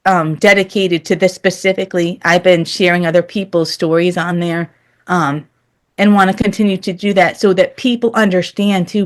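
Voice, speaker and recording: Woman, interview guest. Candid, earnest conversational delivery at a medium pitch.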